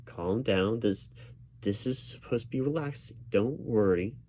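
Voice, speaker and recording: Man, lowish voice, very varied intonation, reassuring job interviewer, halting delivery, ASMR roleplay spoken aloud, faint keyboard typing behind. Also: lively intonation, warm tone, slight background noise. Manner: reassuring, halting with repeated words. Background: computer keyboard typing.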